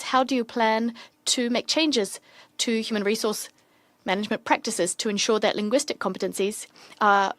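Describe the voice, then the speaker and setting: A woman interpreter renders formal diplomatic speech in measured, steady bursts. Her mid-pitched voice is close to the mic and completely dry, with no room sound at all. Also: no room sound. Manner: steady, measured, in quick bursts between pauses. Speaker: simultaneous interpreter.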